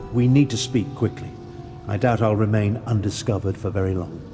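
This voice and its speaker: An actor playing a clever, secretive royal spellcaster in a video game, articulate and confiding with some urgency. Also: male voice, medium pitch, natural voice. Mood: urgent, breezy. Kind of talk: video game character dialogue. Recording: music clearly behind the voice.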